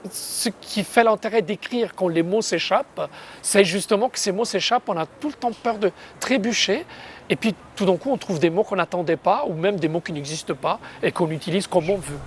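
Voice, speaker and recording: Soft-spoken woman. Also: mid-range voice, normal speed, slight background noise. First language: Italian. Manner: thoughtful, searching for words, soft hesitations. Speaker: poet. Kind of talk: interview, reflective explanation.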